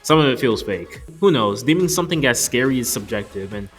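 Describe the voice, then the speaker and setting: A man in his twenties narrates an opinionated movie review. His pitch is average and his delivery is informal, with music playing behind him. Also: expressive, a little fast. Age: 20s. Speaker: video reviewer.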